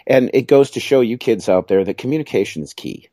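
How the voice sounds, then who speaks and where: A man's voice: mid-range voice, expressive, fairly quick, warm tone, dry recording, studio quality. A middle-aged man, co-hosting a podcast in a jokey, rambling, self-mocking way. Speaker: podcast co-host. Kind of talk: casual chat.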